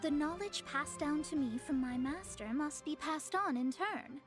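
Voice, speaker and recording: A woman's voice: high-pitched, unhurried. An actress voicing a proud young female astrologer in a game, speaking formally and with lofty self-assurance over faint game music. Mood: haughty. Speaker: game character. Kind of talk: dubbed game dialogue.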